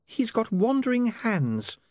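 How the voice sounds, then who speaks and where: Man voicing a prim, snappy young woman, raised pitch. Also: huge pitch swings, slow pace, a little mellow, no room sound, clean studio sound, exaggerated voice. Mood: prim disapproval. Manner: prissy, snappy. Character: prim, sharp-tongued, practical young woman.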